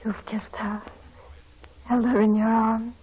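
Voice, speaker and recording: A woman's voice: on the high side, level tone, slow pace. An actress playing a headstrong, passionate young married woman in a melodramatic 1940s radio style. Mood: weak, dying. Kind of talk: 1940s radio drama acting. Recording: dull old radio broadcast.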